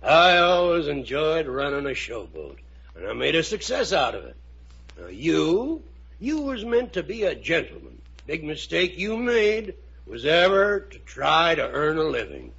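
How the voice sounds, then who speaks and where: An actor playing a henpecked, good-natured old riverboat captain in a 1950s radio drama, speaking in a husky, rustic, ungrammatical way. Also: male voice, on the high side, huge pitch swings, measured pace, a bit bright, exaggerated voice. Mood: kindly, advising. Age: older. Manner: folksy, rustic, ungrammatical speech. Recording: muffled vintage 1950 radio recording.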